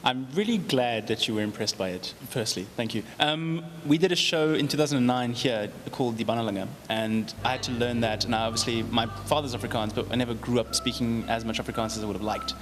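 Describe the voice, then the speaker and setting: A man, a young actor, answering interview questions in a calm, measured, polite way. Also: mid-range voice, a little hiss or hum.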